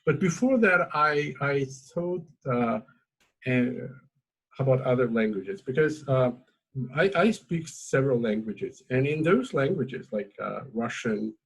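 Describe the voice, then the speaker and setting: A man whose native tongue is Azeri, speaking English with a slightly husky, breathy tone. He feels his way through each thought, stopping and restarting often. Relaxed, informal academic conversation. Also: medium pitch, a little slow, poor audio. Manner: hesitant, many fillers and restarts. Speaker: academic host.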